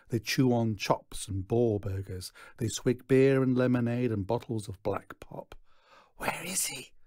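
A man's voice: fairly low pitch, darkish tone, no room sound, studio quality. A man reading a children's novel aloud as scripted narration. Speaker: story reader.

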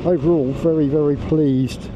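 Motovlogger, male, slightly higher voice, loose rambling delivery, wind and engine noise through a helmet mic. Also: fairly even intonation, normal speed, dull audio. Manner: rambling, self-interrupting, chatty. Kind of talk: casual riding commentary. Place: on a motorbike on country roads. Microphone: wireless mic inside helmet. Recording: windy helmet mic on a moving motorbike.

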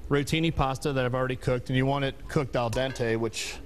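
A middle-aged man, a diner chef, showing a recipe on a TV cooking segment in a plain, relaxed way with a few "uh" pauses. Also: medium pitch, slight background noise. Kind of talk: cooking demonstration. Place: TV studio kitchen set.

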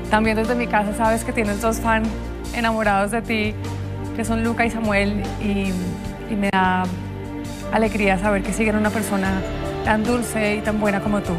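A woman giving a heartfelt farewell speech on a reality show. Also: mid-range voice. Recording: music behind the voice.

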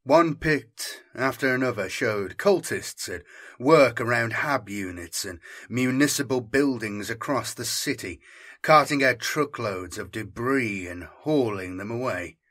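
A man narrating a science fiction audiobook in a measured, deliberate, dramatic storytelling manner. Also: medium pitch, lively intonation, a bit bright.

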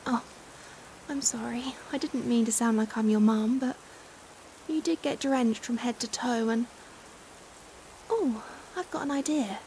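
Woman playing a caring flustered stranger, British accent, high-pitched, unhurried, expressive, ASMR roleplay. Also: rough recording. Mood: concerned, fussing. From United Kingdom. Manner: chatty, expressive, giggly hesitations. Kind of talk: acted ASMR roleplay, conversational. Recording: hiss and steady rain sound. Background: rain falling.

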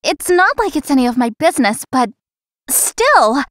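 A young florist in a visual novel game, high in pitch and very close and dry. She is anxious and chatty, dramatizing her thoughts, polite yet a little cheeky. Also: big pitch swings, moderate pace, a bit bright, no echo. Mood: flustered. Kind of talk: visual novel voice acting, inner monologue.

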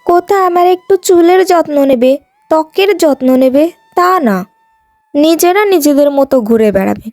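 A haughty young village beauty, played by a woman in a cartoon fairy tale. Her high voice is boastful and petulant, recorded dry with music behind it. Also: animated, moderate pace, a little mellow, no room sound. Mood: petulant, resentful. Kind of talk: cartoon moral-tale voice acting.

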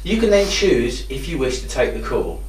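A man's voice: mid-range voice, very animated, moderate pace, darkish tone, slight background noise. Guy doing a product demo, voice goes up and down, roomy and a bit far from the mic. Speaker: product demonstrator. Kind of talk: instructional product demonstration. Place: indoor room with hard walls. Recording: roomy echo, distant microphone.

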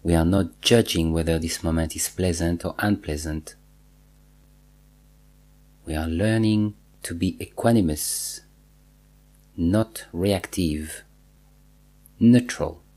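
A man guiding a body scan meditation, giving measured instructions in short phrases with pauses and repetition. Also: low voice, dark tone. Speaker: meditation teacher.

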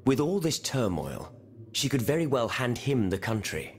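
A man's voice: medium pitch, very animated, moderate pace, natural voice. A man voicing an eloquent, proud revolutionary lawyer in a video game, with formal rhetorical delivery. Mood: wary, skeptical. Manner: formal, rhetorical, sprinkled with French phrases. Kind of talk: video game cutscene acting.

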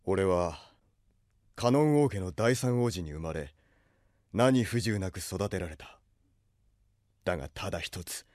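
Man acting a solemn low-voiced rebel prince. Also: no echo, studio quality, lightly acted. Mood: somber. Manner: solemn, measured, lordly. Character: grave exiled prince leading a rebel army. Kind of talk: anime audio drama acting.

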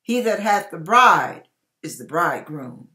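An older woman in about her sixties gives a dramatic Bible reading as a preacher, emphatic and deliberate, stretching words and pausing between phrases. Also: lowish voice, very animated, sharp tone. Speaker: online preacher reading scripture aloud. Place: small indoor room with curtain behind.

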